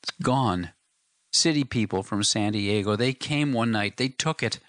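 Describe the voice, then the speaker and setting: A man's voice: lowish voice, a little slow, fairly bright tone, no room sound, studio quality, voice pushed up. A man reading the part of a grieving young farm wife, in a tearful, faltering way. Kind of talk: audiobook character reading.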